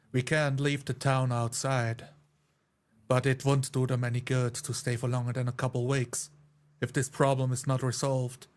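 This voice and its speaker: A man takes part in a tabletop roleplaying game. His mid-pitched voice stays very level, and he delivers his character's words and actions in a measured, deliberate manner. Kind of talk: in-character roleplay with third-person narration.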